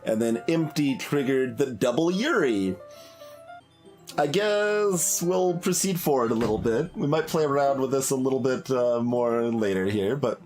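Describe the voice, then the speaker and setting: A man's voice: medium pitch, expressive, unhurried, a little mellow. A man giving casual live game commentary as a streamer, thinking aloud in a hesitant, musing way and trailing off mid-thought. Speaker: streamer, let's play host. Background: game music.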